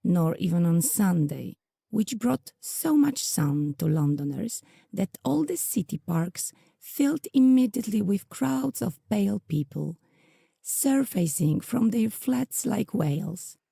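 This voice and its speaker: A woman's voice: medium pitch, vocal fry, mellow, husky, no room sound, studio quality. A woman narrating an audio drama from a script, telling the story in the third person.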